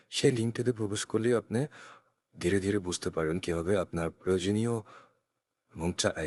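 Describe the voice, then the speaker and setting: A man narrating a step-by-step software tutorial in a careful, instructional way, recorded on a close USB condenser mic. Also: low voice, breathy edge, dry recording. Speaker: tech tutorial presenter. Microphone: close USB condenser microphone.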